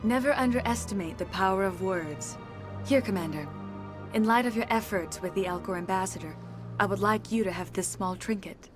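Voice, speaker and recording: An actress plays a serene alien woman counselor from a video game. She speaks in a mid-pitched voice with solemn, poetic, measured phrasing, offering wise comfort over clear background music. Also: lightly acted. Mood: gracious, calm.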